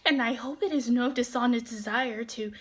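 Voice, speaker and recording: A woman's voice: on the high side, normal speed, husky. A woman acting a simple, eager country girl in a Shakespearean radio play.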